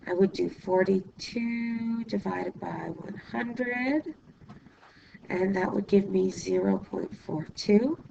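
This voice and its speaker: A woman math teacher, hoarse and losing her voice from illness, patiently explaining a lesson step by step while writing, often checking in with "okay?". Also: medium pitch, noisy background. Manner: writes while talking, pausing mid-sentence. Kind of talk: recorded classroom lesson.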